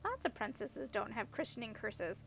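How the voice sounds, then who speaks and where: A woman's voice: on the high side, very animated, normal speed, creaky, fairly bright tone, slight background noise, full character voice. A woman voicing a headstrong, practical young princess in a storybook, with a frank, questioning, matter-of-fact manner. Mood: curious. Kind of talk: audiobook character voice.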